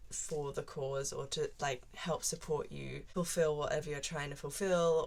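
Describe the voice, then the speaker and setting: A teenage Australian girl talks straight to camera in a chatty, meandering way, stringing thoughts together with lots of "like". Her voice sits low for a young woman. She sounds relaxed and unscripted, as in a first vlog. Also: vocal fry. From Central Australia. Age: teens. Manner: chatty and rambling, many "like" fillers. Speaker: vlogger. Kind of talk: casual chat to camera.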